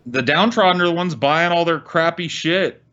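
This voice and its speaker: A man's voice: fairly high pitch, moderate pace, sharp tone, muffled recording. A man ranting casually as a podcast co-host, blunt, exasperated and full of swearing.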